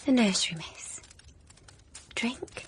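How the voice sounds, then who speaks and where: A woman's voice: fairly high pitch, lively intonation, slow, slightly rough, heavily acted. An actress playing a servant woman in a Victorian radio drama, speaking in short, simple phrases. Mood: hushed concern. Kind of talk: period radio drama acting.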